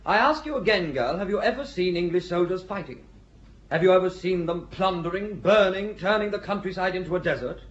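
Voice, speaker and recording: An actor playing a blustering squire who swings between bossy orders and exasperated hesitation in a radio drama. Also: male voice, high-pitched, expressive, a little fast, muffled recording. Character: blustering, self-doubting squire commanding a castle.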